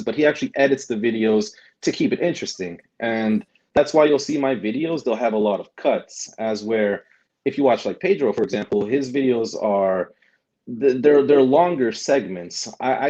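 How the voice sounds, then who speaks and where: A man's voice: mid-range voice, mellow, lo-fi sound. A young man talking casually as a guest, rambling with many restarts and tags like "right?". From Germany. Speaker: guest, delivery driver and vlogger. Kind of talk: casual interview chat.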